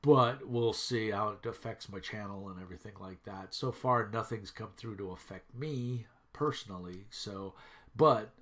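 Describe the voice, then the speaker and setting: A man's voice: on the low side, normal speed, fairly bright tone. A man in his thirties from Minnesota, stuffy with a head cold, rambling casually with many fillers as he reviews tabletop games for his channel.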